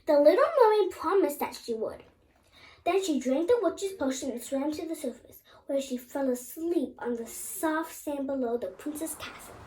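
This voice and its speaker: A woman reading a children's story aloud, haltingly, with stumbles and repeated words. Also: high-pitched, lively intonation, darkish tone, low-quality recording.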